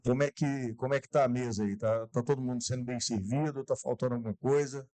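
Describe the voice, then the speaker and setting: A man's voice: mid-range voice, fairly even intonation, fast, a little mellow, dry recording. A man hosting a live corporate event, chatting casually and talking straight to the audience. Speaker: event host.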